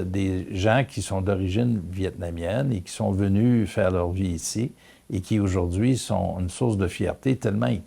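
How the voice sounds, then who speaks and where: Quebec guy, low n gravelly, proud emphatic talk, guitar music under it. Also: darkish tone. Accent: Quebec French. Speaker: interviewee. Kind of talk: documentary interview.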